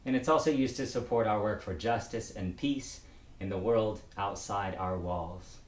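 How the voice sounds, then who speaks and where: A middle-aged man with a fairly low voice addresses a congregation as their pastor. His phrasing is formal and deliberate, in the measured style of church announcements. The sound is clearly roomy and echoing, as if recorded indoors a few steps from the camera. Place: book-lined study indoors, at a lectern.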